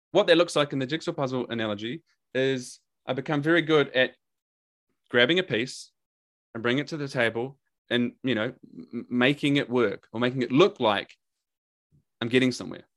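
This is a New Zealand man guesting on a podcast and talking about his coaching work. He speaks candidly and with energy at a middling pitch. He swears freely and stacks repeated words for emphasis. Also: a bit bright. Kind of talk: casual podcast conversation.

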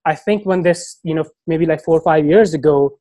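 A man's voice: a little high, moderate pace, warm tone, no echo. A man as a podcast guest, talking casually and thoughtfully, often stopping to restart his sentences. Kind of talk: casual conversation.

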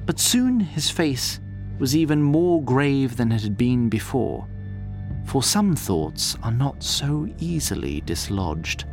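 Theatrical male storyteller, very animated. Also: medium pitch, faint music behind. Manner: theatrical, deliberate, wry. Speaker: narrator. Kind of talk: scripted fantasy storytelling narration.

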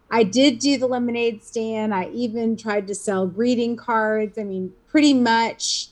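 A woman's voice: on the high side, steady tone, slow. Fifties woman, interview guest, rambling conversational delivery. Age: 50s. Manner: rambling, many fillers and restarts.

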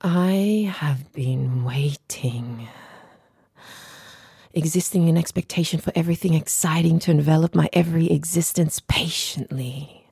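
A woman performing a poetic spoken-word piece in a sensual, drawn-out manner. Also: sits low, vocal fry, mellow, breathy edge, no room sound, clean studio sound. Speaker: writer reading own piece.

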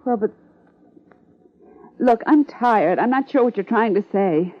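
A woman's voice: on the high side, lively intonation, measured pace. A woman playing a drained, evasive widow hiding her guilt, weary and guarded, in 1950s radio melodrama style. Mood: confused, evasive. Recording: muffled 1950s radio recording.

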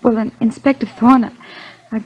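An actress playing a defiant but frightened blues singer in a 1950s detective drama. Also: female voice, a little high, fairly even intonation, normal speed, breathy edge, dull audio. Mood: frightened, earnest.

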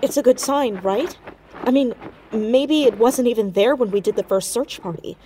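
A woman's voice: on the high side, normal speed, background noise, close to an everyday voice. A woman playing an earnest, impulsive, stubborn teenage girl sleuth in a naturalistic audio drama. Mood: anxious, hopeful.

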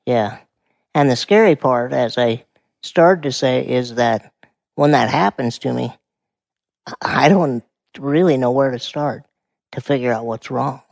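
A quiet-voiced man in his forties from Montana, speaking calmly and explaining things as a guest in a conversation. His voice has a gravelly edge. The sound is dry and close. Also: mid-range voice, measured pace, long pauses, dry recording. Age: 40s. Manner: calm, explanatory. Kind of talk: casual conversation.

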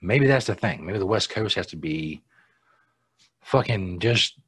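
Husky, sardonic American podcast host. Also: male voice, low voice, lively intonation, a little slow, a bit bright, no echo. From United States. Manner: profane, sardonic, mutters asides to himself. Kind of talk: casual live show chat.

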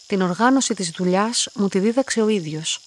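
Actress as calm, lonely young woman narrating. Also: mid-range voice, natural voice. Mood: composed, content. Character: quiet, lonely young woman, lab clerk telling her story. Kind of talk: radio play monologue, literary first-person narration.

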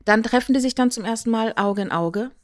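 Eine Frau mit deutschem Akzent plaudert als Podcast-Co-Moderatorin, erklärt erzählend, spielt Zitate nach und sucht mit kleinen Nachfragen Zustimmung. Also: female voice, fairly high pitch, a little fast, no room sound, clean studio sound. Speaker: podcast co-host. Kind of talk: casual podcast chat, explanatory retelling.

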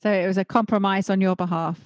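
A woman hosting a podcast, reading a scripted intro and then interviewing her guest in a measured, emphatic way. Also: medium pitch, steady tone, no echo, highs cut off. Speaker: podcast host. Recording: dry close audio with faint music.

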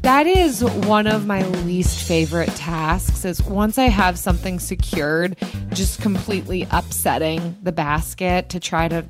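A woman co-hosting a podcast, chatty and quick to laugh, telling stories casually. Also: fairly low pitch, music in the background. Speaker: podcast co-host. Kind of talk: casual chat.

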